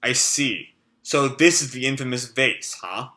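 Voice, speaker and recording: A man reading aloud a comic, voicing a calm, skeptical adult male strategist in a measured, dry way. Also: medium pitch.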